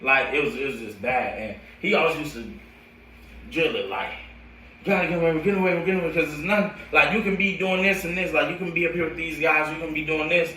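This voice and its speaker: A young American man telling his own story casually in slangy, animated talk, as a coach would to friends. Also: a little high, fast talker, a little hiss or hum. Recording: roomy echo, distant from the mic.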